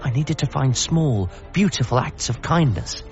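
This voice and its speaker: An actor playing an anxious, grieving adult son in a radio comedy drama, with a wry, hesitant and apologetic manner. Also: male voice, mid-range voice, animated, moderate pace, a little mellow, muffled recording, soft background music, natural voice. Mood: reflective.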